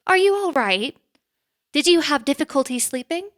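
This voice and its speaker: A woman's voice: sits high, animated, normal speed, a bit bright. A woman playing a composed, businesslike boss in an audio drama, with formal, dry phrasing. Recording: faint music behind.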